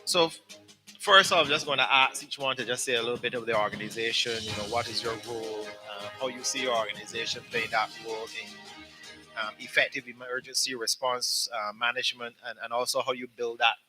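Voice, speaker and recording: A man moderates a business forum on stage, stumbling through introductions with ums and repeated words. His voice is very bright and of middling pitch, with faint music in the background. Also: steady tone, fairly quick. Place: conference hall.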